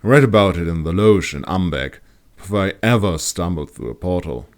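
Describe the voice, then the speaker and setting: A man's voice: low voice, lively intonation, brisk. A man playing a well-read, plain-spoken male ranger in a fantasy audiobook, explaining matter-of-factly. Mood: modest, wry.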